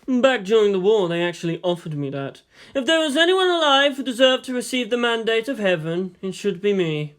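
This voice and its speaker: A man voicing a weary, thoughtful statesman and historian who lectures in a measured, philosophical way in a story reading. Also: high voice, sing-song, a bit bright, voice pushed up. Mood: wry.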